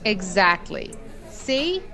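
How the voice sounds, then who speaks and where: A woman playing an airy, rambling character in a radio play, casual, musing and unhurried. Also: medium pitch, sing-song, gravelly, sharp tone, full character voice. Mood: breezy. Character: airy, rambling woman stalling with idle questions.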